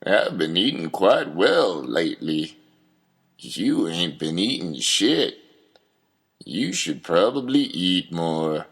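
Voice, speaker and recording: A man acting a low, husky, doubting old man. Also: big pitch swings, slow, fairly bright tone, heavily acted. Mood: dry, doubting. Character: gruff, doubting old man, profane reluctant father figure. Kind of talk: audio drama acting.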